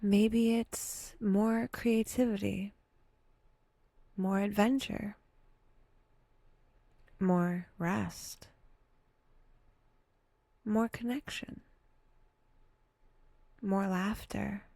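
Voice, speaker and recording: A breathy woman speaks very slowly and gently, as a meditation guide would. Her voice is mellow and creaky at the edges, often sinking toward a whisper, with long pauses and a lightly wry touch. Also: mid-range voice, fairly even intonation, no room sound. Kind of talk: soft, intimate guided meditation.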